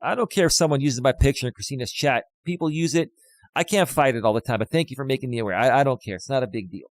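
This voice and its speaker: A man of fifty, a life coach, ranting loudly and emphatically on a live stream while reading out chat, close on a studio dynamic mic. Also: medium pitch, fast, no room sound. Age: 50s.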